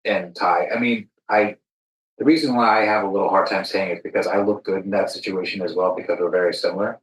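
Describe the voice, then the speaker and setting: A man's voice: low-pitched, fairly even intonation, quick pace. A man talking casually and reflectively on a podcast, telling stories earnestly with emphatic, broken-up phrasing. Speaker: podcast co-speaker. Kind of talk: casual reflective conversation, storytelling. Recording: roomy, echoey sound.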